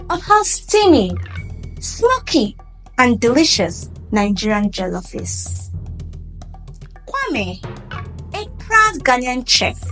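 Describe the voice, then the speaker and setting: A woman storyteller narrating a scripted folktale with deliberate, dramatic phrasing. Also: sits high.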